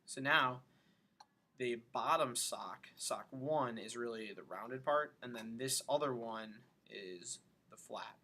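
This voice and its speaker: A man giving a casual software tutorial, thinking aloud informally as he works through each step. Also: medium pitch, slow.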